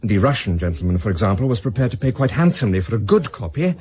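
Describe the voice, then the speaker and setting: An actor playing an affable, fussy London auction-house specialist in a radio drama, with a British accent. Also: male voice, lowish voice, very animated, fast, darkish tone. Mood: expert, chatty. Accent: British English. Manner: fussy, courteous, chatty.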